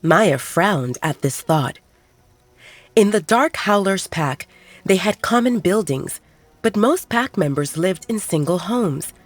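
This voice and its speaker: A woman reading a romance story aloud as a calm, even narrator. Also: on the low side, slow pace, dry recording. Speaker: audiobook narrator.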